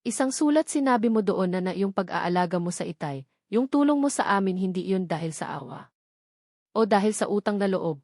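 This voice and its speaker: A woman with a Filipino accent voicing an anxious, tender young woman in a romantic audiobook, soft, tentative and slightly trembling. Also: medium pitch, expressive, fast, dry recording, studio quality. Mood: tentative, hopeful. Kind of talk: romantic audiobook character dialogue.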